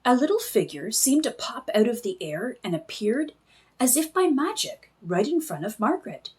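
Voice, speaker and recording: A woman reading a children's book aloud in an expressive storytelling style. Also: on the high side, moderate pace.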